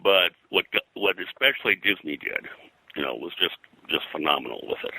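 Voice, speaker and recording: An older man with a very low, strikingly bright voice, recorded dry and close. He speaks as an interview guest, explaining and recollecting in detail with a halting flow. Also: expressive, creaky, husky, no room sound, low-quality recording. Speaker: interview guest, pop culture historian. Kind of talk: conversational interview, recollection and commentary.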